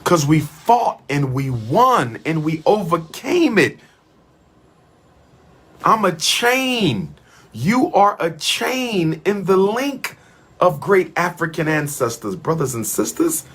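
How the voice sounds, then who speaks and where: Husky guy giving a fired up speech, voice swings all over, repeats lines like a chant. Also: on the high side, very animated, takes it slowly, a bit bright. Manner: emphatic, repetitive chant-like cadences. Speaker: speaker addressing an audience. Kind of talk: impassioned oratory.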